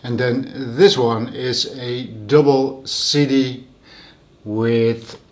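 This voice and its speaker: A man hosting a casual show-and-tell vlog at home, plain and matter-of-fact about his purchases. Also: medium pitch. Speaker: host.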